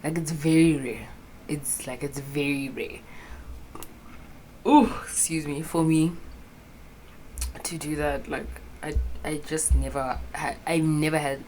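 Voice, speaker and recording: A woman's voice: low voice, fairly even intonation, slow pace, pauses often, darkish tone, a little hiss or hum. A South African woman in her early twenties chatting casually to camera, rambling and swearing freely, mixing in local words, recorded on a phone with a small mic. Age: 20s. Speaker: vlogger. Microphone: phone camera with a clip-on mic.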